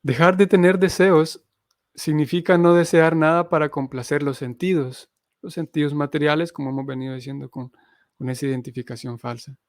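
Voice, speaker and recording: Male spiritual lecturer. Fry-laden voice, close dry sound, thoughtful explanatory delivery. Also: fairly high pitch, steady tone, a little fast, no room sound. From Honduras. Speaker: Hare Krishna missionary teacher. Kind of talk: spiritual lecture, reading scripture aloud and explaining it.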